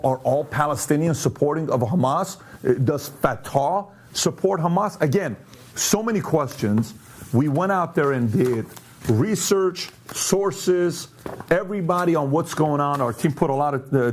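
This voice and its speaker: A man born and raised in Iran hosts a commentary show, posing pointed questions and walking through charts in an emphatic, animated way. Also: a little high, moderate pace, slight background noise. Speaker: show host.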